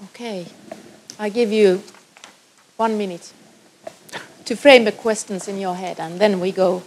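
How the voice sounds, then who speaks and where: A woman's voice: medium pitch, fairly even intonation, a little slow, slight background noise, low-quality recording. A woman moderating a conference session, giving brief plain instructions.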